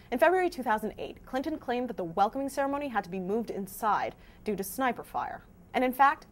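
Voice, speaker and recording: A woman hosting a scripted news explainer, presenting to viewers in an emphatic, wry way. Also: on the high side. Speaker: host of a fact-checking news vidcast.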